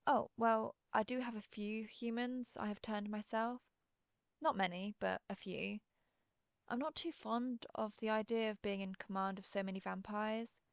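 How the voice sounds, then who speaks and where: A woman's voice: mid-range voice, steady tone, normal speed, vocal fry, clean tone, dry recording, natural voice. A woman playing a friendly, centuries-old female vampire who chats politely and wryly, as in a scripted roleplay interview. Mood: fond, proud. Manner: genteel, conversational, apologetic, gently wry. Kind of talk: scripted roleplay audio, one side of an interview.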